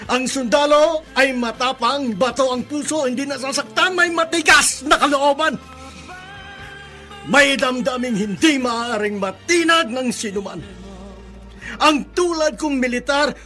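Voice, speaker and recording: An older army colonel with a Filipino accent, proud and lonely. His delivery is slow, bright and forceful, declaimed with anguish in radio drama style over music. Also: very high voice, full character voice.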